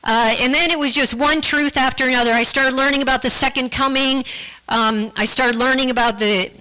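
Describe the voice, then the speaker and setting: A woman giving a personal testimony in church, earnest and halting, often restarting her words. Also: high-pitched, steady tone, sharp tone, clear, resonant tone, poor audio. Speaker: guest speaker giving a testimony.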